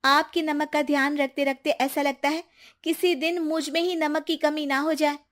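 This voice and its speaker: A woman acts out a young Indian daughter-in-law in a high, expressive voice. She is sarcastic and quick to talk back, in the style of a domestic cartoon drama. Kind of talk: acted Hindi family story cartoon.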